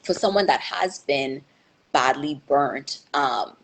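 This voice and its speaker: A woman's voice: extremely low pitch, slow. A young woman answering interview questions in a thoughtful, hesitant way, with many fillers and restarts. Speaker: guest.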